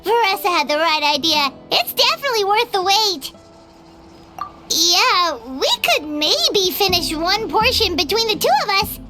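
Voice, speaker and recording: Tiny female companion creature, whiny, very high and bouncy, slow-spoken, game cutscene. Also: animated, over music. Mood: excited. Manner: talks about herself in the third person, whiny. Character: tiny female floating companion, chatty and greedy.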